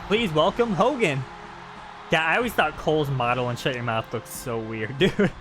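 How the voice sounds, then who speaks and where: A man's voice: medium pitch, expressive, a little fast. A man giving casual, informal and chatty commentary on a video game. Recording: game music behind the voice.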